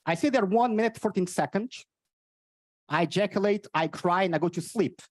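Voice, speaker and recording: A Portuguese man with a Portuguese accent and an odd, cartoonish voice, doing energetic stand-up and teasing the audience on stage. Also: high voice, normal speed, dry recording. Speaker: stand-up comedian. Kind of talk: live stand-up with crowd work. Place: on stage at a live event.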